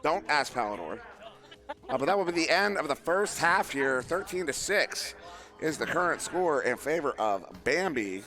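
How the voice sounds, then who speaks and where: Male esports caster, hoarse from a long event, self-deprecating, bright tone. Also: on the high side, sing-song, normal speed, soft background music. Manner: joking, self-deprecating, rambling with fillers. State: losing his voice. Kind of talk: live play-by-play commentary. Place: live event venue.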